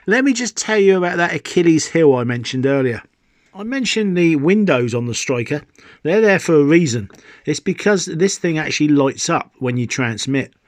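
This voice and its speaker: A man's voice: a little high, no room sound, clean studio sound. A British man presenting a radio hobby video in the style of an enthusiastic product review.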